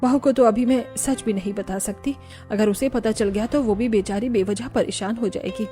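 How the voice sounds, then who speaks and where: A woman voicing a worried middle-aged mother-in-law in a family cartoon, speaking in a measured, concerned way. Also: fairly high pitch, warm tone, faint music behind, voice pushed down, natural voice. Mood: sad, worried. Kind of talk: Hindi family cartoon voice acting.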